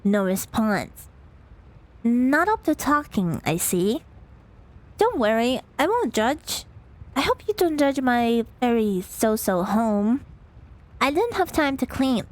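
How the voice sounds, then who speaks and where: A woman's voice: a little high, sing-song, slow, low-quality recording. A woman reading as an overworked office lady who narrates a visual novel with dry, reluctant kindness. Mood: polite, wry.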